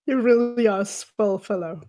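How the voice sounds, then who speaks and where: A woman's voice: mid-range voice, moderate pace, warm tone. A woman improvising in character as a player in a tabletop mystery, with a genteel, theatrical manner. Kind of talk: in-character improv role-play.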